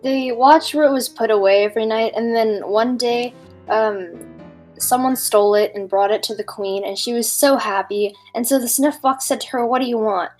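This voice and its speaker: A woman's voice: on the high side, moderate pace, soft background music. A woman reading a fairy tale aloud on a podcast in an informal, giggly way, breaking off for casual asides.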